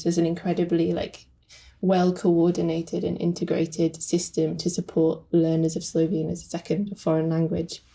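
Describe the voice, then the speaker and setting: A woman's voice: on the low side, dark tone. A woman speaking reflectively with fillers as a guest translator in a podcast conversation.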